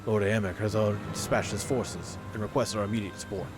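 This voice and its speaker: A man's voice: lowish voice, steady tone, quick pace, music in the background, close to an everyday voice. A man reading as a dutiful military aide in a fantasy game, giving a formal report to his lady. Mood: urgent, formal.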